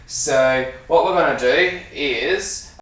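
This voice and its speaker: A man with an Australian accent giving a casual, halting hands-on demonstration as a sound engineer while he works. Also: on the high side, fairly bright tone. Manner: informal, halting, talking while working with hands. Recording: echoey room, distant mic, faint noise.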